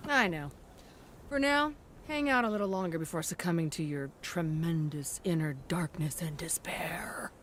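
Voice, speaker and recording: An actress playing a sly, warm woman arms trader in a video game, with playful, theatrical phrasing. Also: fairly low pitch, normal speed, a little hiss or hum, close to an everyday voice. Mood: wry affection. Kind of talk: video game cutscene acting.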